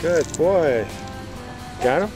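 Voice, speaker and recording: A man holding a camcorder outdoors beside a pond, cheering a small child on with an animated, swooping voice. His talk is captured on the camcorder's own mic, and music plays over it. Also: a little high, slow pace, low-quality recording. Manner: encouraging, praising a small child. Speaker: parent filming his son fishing. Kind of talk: home video commentary. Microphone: handheld camcorder.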